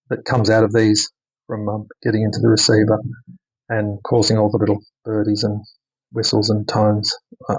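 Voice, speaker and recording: A man giving a casual, unscripted hands-on technical demonstration, speaking haltingly and often repeating words for emphasis. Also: fairly low pitch, slightly rough, poor audio. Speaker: electronics hobbyist presenting a radio mod.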